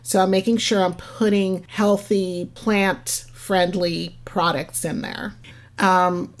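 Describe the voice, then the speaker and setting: Woman podcast host, scratchy voice, slightly low pitch, rambling casual monologue. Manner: rambling, chatty, laughs at herself.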